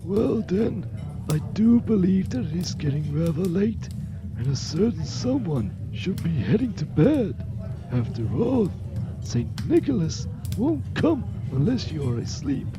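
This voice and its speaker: A man's voice: high voice, big pitch swings, unhurried, heavily acted, rough recording. A man playing a kindly, jolly old godfather in an audio drama, affectionate, teasing and theatrical. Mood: gently chiding. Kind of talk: scripted audio drama acting. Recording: music under the voice. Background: music.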